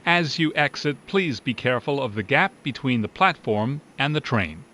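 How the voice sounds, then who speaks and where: Animated male subway announcer. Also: mid-range voice, normal speed. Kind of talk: scripted recorded transit announcements.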